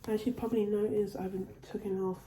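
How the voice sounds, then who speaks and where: Woman doing a haul vid at home, really dark mellow voice, still getting over a cold, bit echoey. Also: mid-range voice, level tone, quick pace, a little hiss or hum. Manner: chatty, repeats and restarts phrases. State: recovering from a cold. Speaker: vlogger. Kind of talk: casual haul video. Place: at home, indoors.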